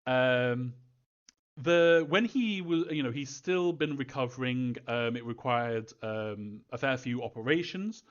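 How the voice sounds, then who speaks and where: A man answering fan questions in an informal live Q&A, thinking aloud in a halting, rambling way, as a developer. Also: mid-range voice, lo-fi sound.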